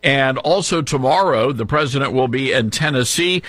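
Bright-voiced male radio show host. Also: medium pitch, moderate pace, dry recording, studio quality. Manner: upbeat, conversational, joking. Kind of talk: radio show hosting and interview.

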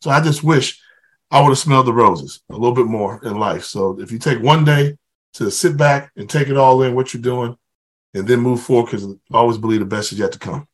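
A man's voice: medium pitch, brisk. A middle-aged man, a former football player, talking reflectively as a podcast guest, easygoing with lots of "you know". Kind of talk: casual interview chat.

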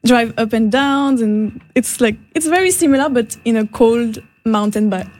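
A woman's voice: fairly high pitch, normal speed, a little mellow. A young woman from the French Alps with a French accent, a native French speaker, chatting casually as an interview guest. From French Alps, France. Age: young adult. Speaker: guest, marine conservation worker. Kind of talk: casual self-introduction and chat.

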